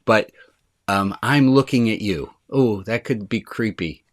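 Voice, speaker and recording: A man speaking as a rabbi on a video call, leading an online group in a casual, chatty way with a deep, resonant voice. He talks eagerly and quickly, and he says his excitement speeds him up.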